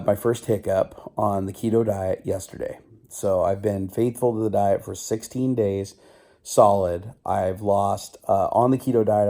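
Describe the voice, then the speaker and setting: A middle-aged man vlogging casually, his voice still slightly rough and stuffy from a cold, rambling and joking at himself. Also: lowish voice. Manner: rambling, self-mocking, loud. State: stuffy nose. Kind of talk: casual video diary.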